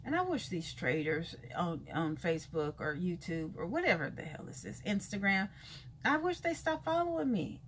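A 51-year-old woman vlogging a sassy, emphatic rant from a bright room with books, repeating lines for effect and calling listeners baby and honey, gesturing as she talks. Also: fairly low pitch, moderate pace, a little hiss or hum. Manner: lively hand gestures while talking. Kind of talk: casual talking-head rant. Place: bright room with shelves of books by a window, indoors.

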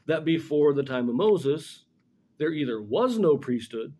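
Mellow middle-aged man explaining scripture. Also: a little high, big pitch swings, a little slow. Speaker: scripture commentator. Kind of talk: informal religious lesson with scripture reading. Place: small indoor room in front of a blackboard.

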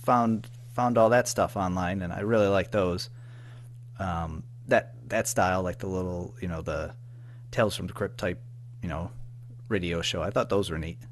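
A man chatting casually as an interview guest, informal and halting, padding his sentences with filler phrases. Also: low-pitched, steady tone, slight background noise. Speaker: podcast guest, audio drama writer-producer.

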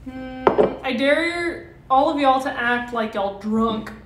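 A young woman with a high voice hands out dares and curses freely. She sits back from the phone mic in an echoey room. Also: slow, distant mic, heavy background noise. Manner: excitable, swears, hands out dares. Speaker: cosplayer. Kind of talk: casual livestream chat. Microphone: phone livestream.

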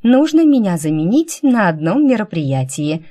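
A woman's voice: medium pitch, sing-song, normal speed, mellow, voice pushed up. A woman voicing a cheerful, pleading twenty-year-old sister in an audiobook, direct and wheedling.

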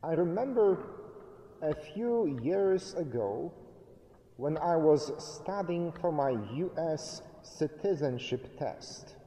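A middle-aged man preaching a sermon from a lectern, with slow, deliberate phrasing and emphatic pauses. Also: a little high, lively intonation, mellow. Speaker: pastor. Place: church sanctuary, indoors, at a lectern. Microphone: fixed camera at a distance. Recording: reverberant church hall.

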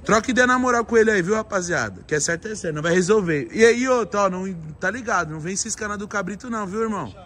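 A man's voice: high-pitched, expressive, fast, hardly any pauses, a bit bright. A young man hosting a live vlog, slangy and mock-serious as he eggs his friends on. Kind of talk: casual live-stream banter. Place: restaurant and street outside. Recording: busy restaurant crowd behind.

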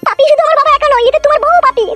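Woman playing a very high-pitched, lovesick cartoon girl. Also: fairly even intonation, very fast, sharp tone, soft background music. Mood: pleading. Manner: pleading, coaxing, insistent. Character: lovesick, pushy young daughter of a tyrant politician. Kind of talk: amateur romantic comedy cartoon dub.

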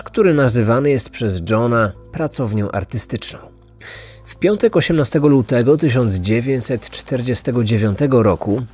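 Man, true-crime podcast narrator, measured scripted storytelling, medium pitch, faint background music. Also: darkish tone.

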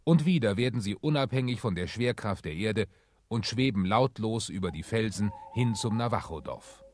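Male narrator, lowish register, measured scripted storytelling for radio drama. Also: animated. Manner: measured storytelling, clearly enunciated.

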